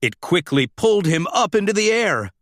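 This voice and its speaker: A man reads a children's story with dramatic expression. His voice is fairly high and very animated, moving at a deliberate pace. The recording is close and dry. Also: no room sound. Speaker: storybook narrator.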